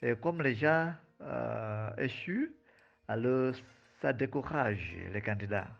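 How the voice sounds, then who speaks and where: Slow, gravelly-voiced male teacher from Malawi. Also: mid-range voice. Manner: hesitant, many fillers and restarts. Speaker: French teacher, guest. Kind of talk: interview answers. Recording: dull lo-fi sound with faint music.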